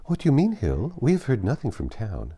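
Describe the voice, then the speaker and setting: A man voicing an eager, anxious young gentlewoman in an audiobook, urgent and exclaiming. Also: medium pitch, wildly swooping pitch, a little fast, breathy edge, no echo. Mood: puzzled. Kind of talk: audiobook character dialogue.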